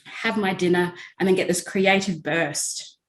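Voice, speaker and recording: A woman speaks over a video call in a steady way, filling her pauses with "um". Her voice sits on the low side. The sound is roomy and echoey. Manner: steady, with frequent fillers. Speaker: entrepreneurship facilitator. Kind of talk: guest telling her story in an interview.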